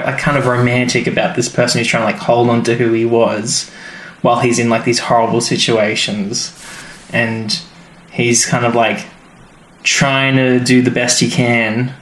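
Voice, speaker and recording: A man's voice: mid-range voice, a bit bright. A man co-hosting a podcast, chatting casually and rambling with many fillers. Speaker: podcast co-host. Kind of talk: casual chat. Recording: roomy with faint noise.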